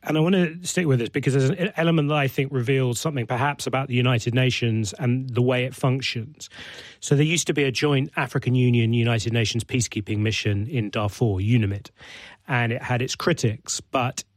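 A man's voice: medium pitch, steady tone, a little fast, no room sound. A man hosting a radio interview, asking probing questions and narrating in a composed broadcast manner. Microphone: studio mic.

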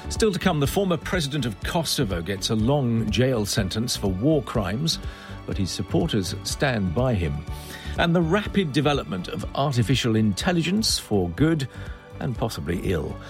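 A man hosting a radio news programme, introducing guests in a measured, formal broadcast manner from a studio. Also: mid-range voice, very animated, soft background music. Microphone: studio microphone.

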